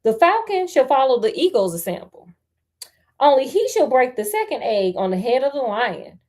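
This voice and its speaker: A woman voicing a proud, confident male ostrich giving deliberate battle orders in a storybook. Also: high voice, big pitch swings, darkish tone. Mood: commanding.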